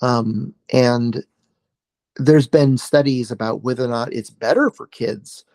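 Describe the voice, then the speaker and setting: A man's voice: mid-range voice, unhurried, a little mellow, no echo. An American man in his forties from Oregon, a podcast guest chatting casually over a video call, rambling with many fillers and restarts. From Oregon, USA. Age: 40s. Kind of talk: casual conversation.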